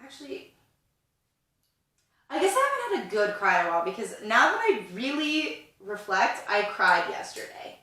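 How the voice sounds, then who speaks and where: A woman's voice: fairly high pitch, huge pitch swings, normal speed, bright, forceful tone, heavy reverb, distant mic. A woman in her twenties co-hosting a podcast, chatting in a rambling way with lots of fillers. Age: 20s. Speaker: podcast co-host. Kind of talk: casual chat.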